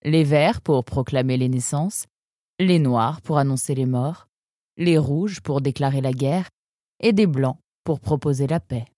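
A woman voicing a confident, proud female fox who explains things briskly in a children's audio story. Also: rumbling low, warm tone, no room sound, clean studio sound. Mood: proud, explanatory.

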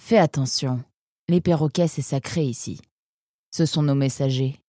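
A woman voicing a confident, proud female fox who explains things briskly in a children's audio story. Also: very deep voice, mellow, dry recording, clean studio sound. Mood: firm.

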